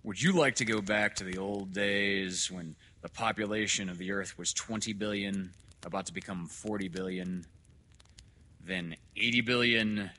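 A man voicing an overbearing elderly male doctor in a storybook reading, lecturing loudly and emphatically. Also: fairly low pitch.

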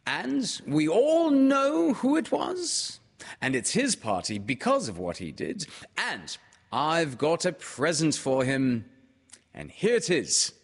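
A man's voice: fairly high pitch, very animated, takes it slowly, slightly rough, pitched above the performer's own voice, exaggerated voice. A man voicing an earnest young boy making a solemn little speech, in a reverberant hall.

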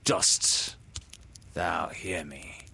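Man playing a brooding, slow-spoken pirate captain villain. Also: deep voice, big pitch swings, fairly bright tone, breathy edge, exaggerated voice. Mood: shaken. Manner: grandiose, menacing, slow dramatic delivery.

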